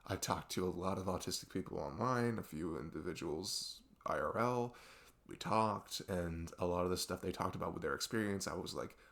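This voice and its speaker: A man playing a young best friend who confides in a halting, rambling, exasperated way in an ASMR audio roleplay. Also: deep voice, creaky, a bit bright. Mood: confiding. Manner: rambling, halting, exasperated sighs and nervous laughs. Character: young male best friend venting about arguing with parents.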